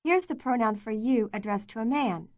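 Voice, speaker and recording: Woman narrator for a language lesson tape, slightly high voice, dry close sound, clear and measured. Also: a little mellow, no room sound. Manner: clear, measured, prompting the learner. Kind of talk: scripted instructional narration.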